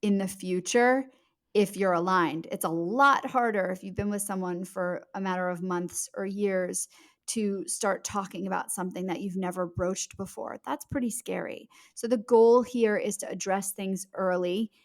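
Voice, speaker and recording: Emphatic, fluent woman with mid-pitched voice. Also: fairly even intonation, normal speed. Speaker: guest author. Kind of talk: conversational interview explanation.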